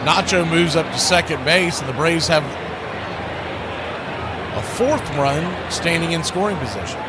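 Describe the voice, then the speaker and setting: A man's voice: a little high, expressive, unhurried. A man doing live radio play-by-play of a baseball game as the announcer. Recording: loud background noise behind the voice.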